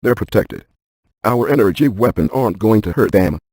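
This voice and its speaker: Husky, deadpan scientist ghost hunter. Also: male voice, lowish voice, normal speed, no room sound, studio quality. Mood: matter-of-fact, grave. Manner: precise, technical, deadpan delivery. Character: earnest, analytical male scientist ghost hunter, matter-of-fact. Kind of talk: video game voice acting.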